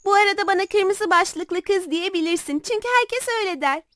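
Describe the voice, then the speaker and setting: A woman's voice: very high voice, brisk, fairly bright tone, no room sound, studio quality. A woman voicing an innocent, polite little girl in a children's fairy tale cartoon, with a sweet, naive manner. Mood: polite, cheerful.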